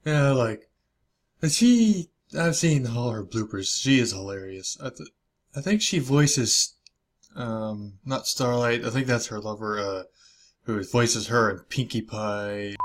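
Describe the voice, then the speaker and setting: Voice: mid-range voice, lively intonation, slow pace. A reaction video host giving casual, rambling commentary with frequent interjections.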